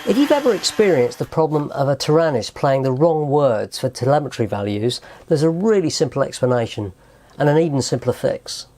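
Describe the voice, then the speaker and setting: A man's voice: mid-range voice, very animated, fairly quick, darkish tone. A man presenting a how-to tutorial in a methodical, explanatory way. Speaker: host of a tutorial channel.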